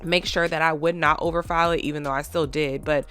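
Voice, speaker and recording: A chatty woman with a slightly low, smooth voice that sounds stuffed up from congestion. She talks casually to her viewers, filling pauses with "um" and "like", over faint background music. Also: steady tone, fairly bright tone. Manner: chatty, lots of fillers. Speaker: nail tech vlogger.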